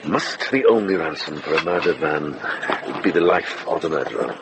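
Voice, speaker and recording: An actor playing a wise older Benedictine monk in a radio drama, gentle but probing, with measured, old-fashioned speech. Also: male voice, extremely low pitch, lively intonation, vocal fry, full character voice. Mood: earnest, pleading. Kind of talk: British radio drama acting. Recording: noisy outdoor-like drama soundscape.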